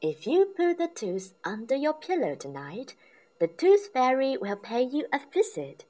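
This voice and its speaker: A woman reading a storybook aloud and voicing a gentle, cheerful mother pig in a soft, raised voice. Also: sits high, huge pitch swings, a little slow, pitched above the performer's own voice, full character voice.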